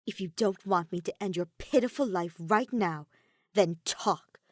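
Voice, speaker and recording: A menacing teenage girl assassin, voiced by a woman reader in a story reading. She hisses cold, curt threats at a middle pitch, close and dry. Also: a little slow, no echo, lightly acted.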